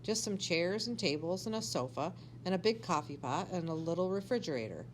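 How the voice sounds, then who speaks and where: A woman's voice: on the low side, expressive, brisk, a little hiss or hum, lightly acted. A woman voicing a sensible, slightly weary storybook mother who dismisses her children's notions matter-of-factly.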